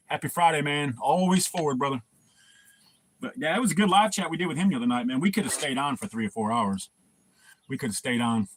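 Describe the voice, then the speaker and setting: A man's voice: medium pitch, lively intonation, fairly quick, long pauses, a little hiss or hum. A man hosting a casual livestream, laid-back and swearing, laughing often and greeting viewers by name. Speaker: livestream host.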